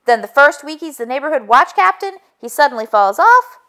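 A woman's voice: high-pitched, big pitch swings, a little fast, fairly bright tone, pitched above the performer's own voice. A woman voicing an earnest, suspicious male egg detective in a storybook. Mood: insistent. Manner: insistent, suspicious.

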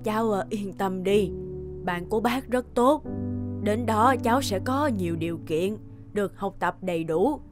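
A woman plays a kindly older neighbour lady in an animated drama. She is coaxing and sympathetic, at a medium pitch, with clear background music. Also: moderate pace, lightly acted. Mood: coaxing, reassuring. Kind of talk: dubbed cartoon dialogue. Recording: music behind the voice.

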